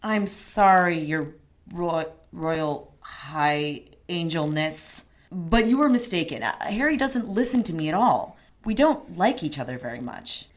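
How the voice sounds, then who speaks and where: Wry, blunt ally, lowish voice. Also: female voice, expressive, a little slow. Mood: doubtful, exasperated. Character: wry, sceptical, down-to-earth woman, detective's prickly ally. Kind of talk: radio drama acting.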